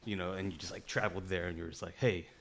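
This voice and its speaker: A man with a slightly low voice chats as a podcast co-host. He speaks informally and in slang, often ending thoughts with you know or bro, as if talking to a close friend. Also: steady tone, fast, vocal fry, a bit bright, slight background noise. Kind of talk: casual conversation between friends.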